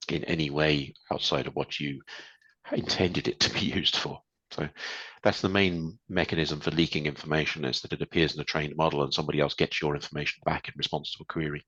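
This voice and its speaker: A man presenting in a webinar, answering questions in a measured, explanatory way with frequent restarts over a video call. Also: rumbling low, bright, forceful tone, breathy edge, dry recording. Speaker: guest presenter. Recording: dull lo-fi video call audio.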